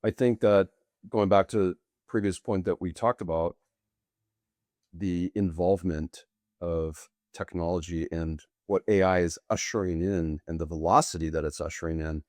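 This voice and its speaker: A middle-aged man, bilingual with Portuguese, answering interview questions as a guest in long, deliberate, winding sentences. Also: sits low, steady tone, darkish tone, no echo, clean studio sound. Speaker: guest, sales executive.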